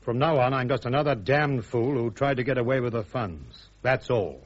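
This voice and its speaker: An actor playing a bitter, proud, court-martialled army major in a radio drama, curt, dry and sardonic, on a muffled recording. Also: male voice, mid-range voice, moderate pace, natural voice. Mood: sardonic, firm.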